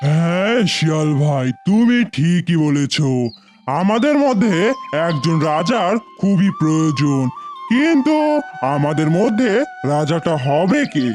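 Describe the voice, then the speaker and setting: A man voicing a big, strong animal in an animated fable, friendly but boastful about its strength. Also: on the high side, measured pace, faint music behind. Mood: eager, boastful. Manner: amiable, boastful.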